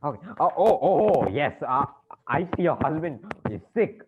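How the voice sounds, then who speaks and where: A man's voice: on the high side, big pitch swings, moderate pace. A flustered bank manager in a stage farce, with a widely swooping voice. He is fussy, over-polite and exasperated, on a thin, tinny recording with faint noise. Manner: stammering, fussy, over-polite turning frantic. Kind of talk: comic stage-play acting. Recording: tinny band-limited audio, faint noise.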